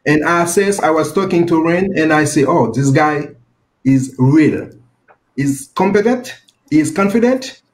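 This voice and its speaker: A man's voice: on the high side, poor audio. A man from Haiti with a Haitian French accent and French as his first language, a financial advisor answering interview questions earnestly in simple phrases.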